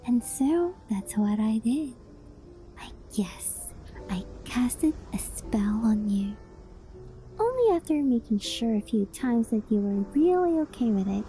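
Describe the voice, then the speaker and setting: Woman as adoring kitsune wife for ASMR roleplay: very mellow, unhurried, shy, affectionate, whispered touches, music behind. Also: fairly high pitch, low-quality recording. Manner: coy, hesitant, affectionate, softly breathy with whispered phrases. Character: doting, clingy, obsessive young kitsune fox-spirit wife. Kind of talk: ASMR roleplay, intimate sleep-aid monologue.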